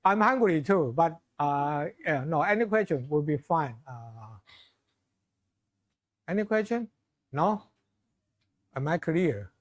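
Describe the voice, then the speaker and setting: Middle-aged male lecturer, Chinese accent, higher-pitched, loose halting delivery full of fillers. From China. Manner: halting, restarts phrases, many fillers, asks audience for questions. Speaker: visiting lecturer. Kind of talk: informal closing remarks to students.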